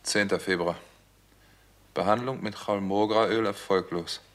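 A man's voice: fairly low pitch, steady tone, measured pace, a little hiss or hum, lightly acted. An actor playing a doctor turned sleuth in a 1960s radio crime drama, telling his case in a terse, matter-of-fact way. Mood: absorbed, clinical.

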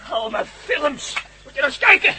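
Sharp, hot-headed cameraman. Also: extremely high pitch, big pitch swings, moderate pace, full character voice. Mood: furious, desperate. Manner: blustering, indignant. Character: hot-headed, defiant male cameraman, quick to anger. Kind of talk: radio drama acting.

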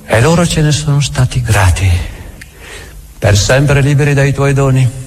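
An actor playing a bitter, domineering old Grand Inquisitor in a theatrical radio drama, halting and repeating his words. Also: low voice, a little mellow, slightly rough. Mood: cold authority. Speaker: stage actor.

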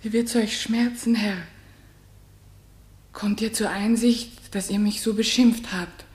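Actress as a slow-spoken, dignified wronged queen. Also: mid-range voice, fairly even intonation, a little mellow, reverberant, natural voice. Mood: proud, pained. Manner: measured, solemn, declamatory. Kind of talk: classical German radio play stage acting.

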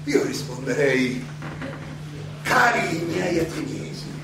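An actor playing a defiant philosopher on trial in a theatrical monologue, declamatory and halting, with rhetorical questions, in an echoey, noisy recording. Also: male voice, on the high side, sing-song, heavily acted. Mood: firm, affectionate. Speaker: stage actor and director. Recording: echoey hall, distant mic, clearly noisy.

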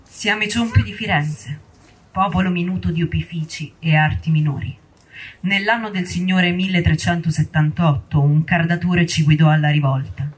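Proud medieval worker rebel male actor, high-pitched, husky, declamatory theatre storytelling. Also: fairly even intonation, moderate pace, low-quality recording. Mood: proud, grave. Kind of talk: theatrical recited monologue.

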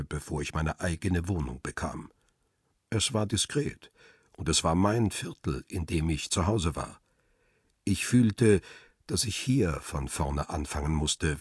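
A man's voice: sits low, husky, no echo, clean studio sound. A man narrating a crime radio play in the first person and playing its brooding lead.

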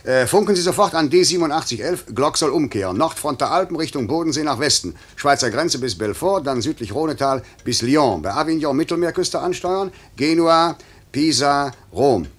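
A stern, gruff airline director in an old radio play. His voice is slightly husky and swings widely between clipped orders and low muttering. He is middle-aged, exacting and impatient. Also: lively intonation, moderate pace. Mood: urgent, impatient. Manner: clipped commands and impatient rebukes. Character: stern, demanding airline director, gruff but secretly fond. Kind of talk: 1950s German radio drama acting. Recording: slightly muffled 1950s radio recording.

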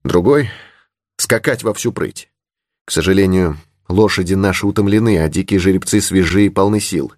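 Gallant, earnest horseman, voiced by a man, low-pitched. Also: a little fast, a little mellow, dry recording, clean studio sound, lightly acted. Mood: urgent, cautious. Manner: courteous, earnest. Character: gallant, cautious young horseman, protective of a lady. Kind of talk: audiobook character in adventure novel.

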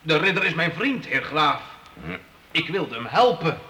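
A slow, evasive knight. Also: male voice, fairly high pitch, big pitch swings, sharp tone, full character voice. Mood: apologetic, submissive. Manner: halting, deferential, placating. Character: apologetic, evasive knight answering to his lord. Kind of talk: Dutch historical radio play acting. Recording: echoey room, faintly noisy radio play.

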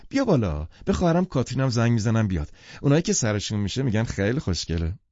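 A man's voice: medium pitch, very animated, dark tone, dry recording, muffled recording. A man voicing an eager, self-important married woman in an audiobook, gushing and demanding. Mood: inviting, proud. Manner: enthusiastic, demanding.

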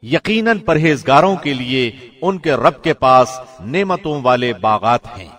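A man with a solemn, measured, clearly articulated delivery, formally reading a scripture translation like a professional radio presenter. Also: mid-range voice, animated, a bit bright.

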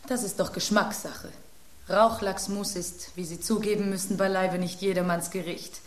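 A woman's voice: medium pitch, steady tone, moderate pace, roomy sound, slight background noise, close to an everyday voice. An actress playing a vain rose who calls herself queen of the flowers, lecturing in an affected, haughty way in a radio play.

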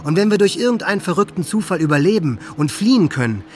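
A man's voice: high voice, big pitch swings, fast, faint music behind. A man playing a hate-filled, grandiose teenage boy in a radio drama, delivering a diary monologue with cold intensity. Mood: gleeful menace.

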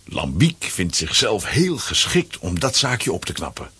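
A man's voice: fairly low pitch, wildly swooping pitch, measured pace, sharp tone, breathy edge, no echo. A man narrating a scripted children's audio play as its storyteller. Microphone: close, dry studio microphone.

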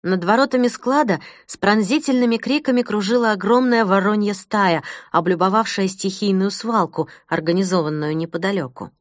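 A woman's voice: mid-range voice, moderate pace, hardly any pauses, no room sound. Woman narrating a novel, dry sound. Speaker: audiobook narrator. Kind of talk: expressive scripted narration of a novel.

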